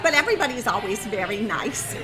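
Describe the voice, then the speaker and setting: Comic turn: a woman playing a giggly, rambling makeup artist to the stars, bright swooping voice, show music and crowd behind. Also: a little high, big pitch swings, fast talker. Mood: breezy. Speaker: comic guest. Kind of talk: improvised comedy talk show bit.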